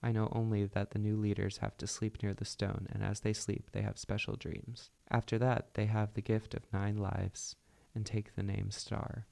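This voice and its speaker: Storybook apprentice cat, young male, man reading: earnest explainer, low, some fry. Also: flat delivery, normal speed, darkish tone.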